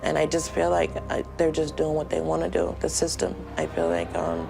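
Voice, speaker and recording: Gravelly-voiced woman in her forties. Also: low-pitched, sing-song, music in the background. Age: 40s. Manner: emotional, halting with ums. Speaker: jail inmate awaiting trial. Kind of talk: documentary interview.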